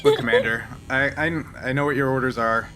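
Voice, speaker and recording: A man playing a guarded, resigned smuggler pilot in a tabletop roleplaying game, speaking in a halting, measured way. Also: mid-range voice, fairly even intonation, pushed and bright, natural voice, low-quality recording. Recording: faint background noise.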